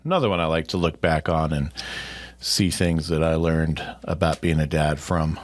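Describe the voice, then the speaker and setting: A man's voice: rumbling low, moderate pace. A man of about forty from the Midwest, co-hosting a casual podcast with wry, chuckling asides. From American Midwest. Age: 40s. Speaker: podcast co-host. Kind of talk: casual chat.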